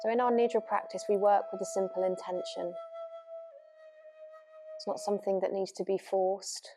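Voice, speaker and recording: A woman yoga nidra teacher leading a guided relaxation meditation gently and without hurry, leaving long pauses. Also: mid-range voice, steady tone. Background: new-age music.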